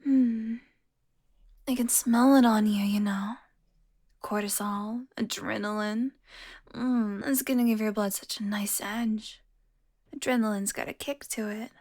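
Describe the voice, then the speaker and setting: A woman's voice: medium pitch, steady tone, measured pace, pauses often, darkish tone, natural voice. A woman playing a smug, flirtatious, predatory female vampire in an intimate audio roleplay, teasing and often dropping toward a whisper. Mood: hungry, savoring. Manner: taunting, sultry, drops into breathy whispers.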